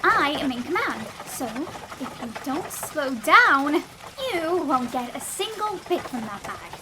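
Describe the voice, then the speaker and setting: Very high, expressive young woman traveler acted. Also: slow pace, noisy background, heavily acted. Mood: irritated. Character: bossy, weary young female traveler in command. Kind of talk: audio drama acting.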